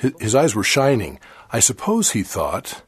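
Older man from Chile preaching a sermon; low, slightly husky voice, measured and earnest. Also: very animated. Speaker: church speaker. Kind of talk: formal sermon.

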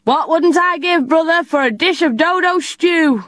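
A woman's voice: very high voice, moderate pace, a bit bright, no echo, studio quality, pitched above the performer's own voice. A woman voicing a greedy, hungry grown-man pirate with a demanding manner.

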